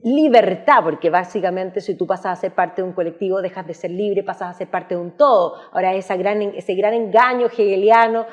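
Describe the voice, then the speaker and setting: A woman's voice: mid-range voice, a little fast, hardly any pauses. Woman host, mid voice, emphatic; lo-fi muffled audio. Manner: emphatic, uses rhetorical questions. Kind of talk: political discussion.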